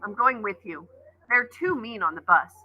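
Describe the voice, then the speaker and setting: A woman voicing a scared, weepy young girl who tags along, pitching her high and pleading. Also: animated, moderate pace, muffled recording, a little hiss or hum, lightly acted. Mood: anxious.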